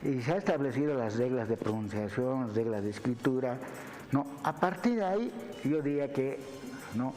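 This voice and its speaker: A man's voice: medium pitch, lively intonation, normal speed, dark tone, long reverb tail, soft background music, rough recording. A man with a Bolivian accent, a university lecturer explaining things didactically as an interview guest, punctuating his sentences with tag questions. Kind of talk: explanatory television interview talk.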